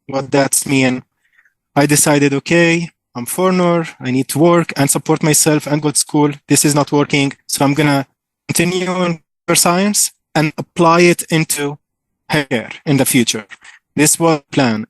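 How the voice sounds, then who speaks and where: Upbeat Syrian-born man pitching a startup. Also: dry recording, poor audio. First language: Arabic. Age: 30s. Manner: enthusiastic, informal, thinks aloud with fillers. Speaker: startup founder presenting. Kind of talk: conference pitch presentation.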